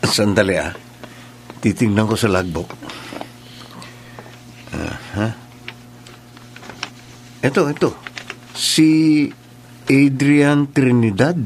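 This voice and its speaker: A man's voice: mid-range voice, very slow, long pauses, slight background noise. An actor playing a friendly, teasing male school nurse in a radio drama. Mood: kindly.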